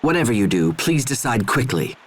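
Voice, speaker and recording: An actor playing a stern, proud young military officer in a video game, formal, cold and dutiful. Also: male voice, medium pitch, very animated, a little fast, breathy edge. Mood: coldly threatening. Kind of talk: dramatic video game voice acting.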